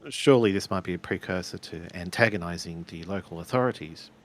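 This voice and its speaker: A man's voice: low voice, brisk. A man playing a tabletop roleplaying game, improvising polite in-character dialogue in a hesitant, stammering way.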